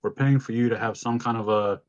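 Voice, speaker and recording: A man with a deep voice co-hosting a podcast, telling stories in an informal, casual way and swearing freely. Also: steady tone, brisk, dry recording, highs cut off. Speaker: podcast co-host. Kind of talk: casual chat, storytelling.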